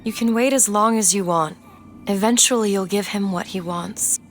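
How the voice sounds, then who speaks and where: A woman's voice: mid-range voice, over music, lightly acted. An actress playing a resolute, all-knowing young woman in a dramatic video game, speaking firmly and solemnly. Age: young adult. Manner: firm, solemn, deliberate. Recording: clear background ambient noise.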